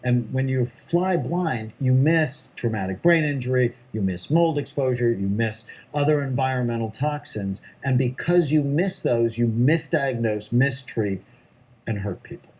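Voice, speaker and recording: A man's voice: mid-range voice, a little slow, a little mellow, slightly rough. A middle-aged man, a psychiatrist, answering interview questions in an emphatic way, often stressing his points in short lists. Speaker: psychiatrist guest.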